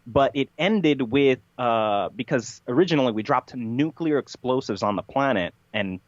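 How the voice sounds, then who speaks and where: A man speaking as a podcast guest, telling a rambling story in a matter-of-fact, halting way with repeated words. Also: mid-range voice, expressive, dry recording, lo-fi sound.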